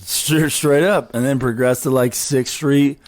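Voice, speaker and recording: A man with a Texan accent and a low voice, a laid-back musician guest talking casually and swearing. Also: steady tone, measured pace, crowd noise. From Austin, Texas. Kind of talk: casual interview chat.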